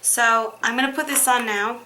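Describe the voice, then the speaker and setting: A young woman in a casual skincare tutorial, chatty with many fillers, her voice a little hoarse from being sick. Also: fairly high pitch, steady tone, normal speed, background noise. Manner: chatty, demonstrating while talking, many ums and likes. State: slightly hoarse, recovering from illness. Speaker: beauty vlogger.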